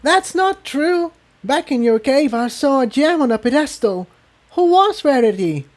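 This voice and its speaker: A man's voice: extremely high pitch, expressive, measured pace, voice pushed up. A man voicing a brave, earnest young female pony in a storybook reading. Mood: earnest defiance. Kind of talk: storybook character voice.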